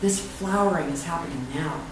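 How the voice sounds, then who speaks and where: A woman leading a church invocation and readings in a prayerful, unhurried, affirming way. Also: lowish voice. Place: church sanctuary. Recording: echoey room, far from mic, noisy.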